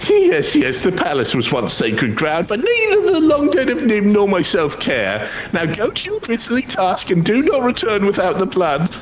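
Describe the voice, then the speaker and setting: A woman's voice: mid-range voice, huge pitch swings, normal speed, few pauses, slight background noise, rough recording. A woman voicing a pompous, menacing male alchemist henchman in a fantasy game, with an abrupt, commanding, theatrical manner. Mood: scheming, imperious. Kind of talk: acted reading of fantasy game dialogue.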